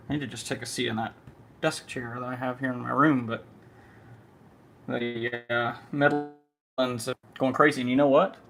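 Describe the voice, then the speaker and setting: Man, gravelly fry, casual livestream host, thinking aloud, faint background noise. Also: mid-range voice, fairly bright tone. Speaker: amateur storm-watch livestream host. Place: a room at home.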